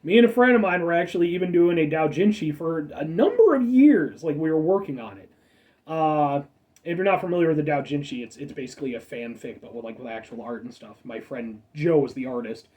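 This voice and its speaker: Stuffy-nosed guy in his 30s rambling thru a soda review, mellow voice, bouncy pitch, mic a bit far off. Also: animated, a little fast, lo-fi sound. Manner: rambling, self-correcting, chatty asides. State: stuffed-up nose. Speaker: food review show host. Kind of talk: casual product review.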